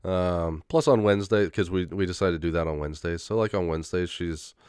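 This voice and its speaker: A man's voice: low voice, brisk, a little mellow, smooth, no room sound, studio quality. A young man in a casual rambling monologue, full of fillers, restarts and swearing, getting over a head cold with an occasional cough. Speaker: vlogger.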